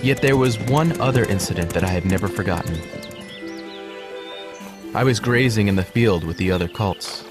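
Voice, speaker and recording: A man playing a gentle horse who narrates his life story in a measured, earnest storybook style. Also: lowish voice, over music, close to an everyday voice. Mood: reflective.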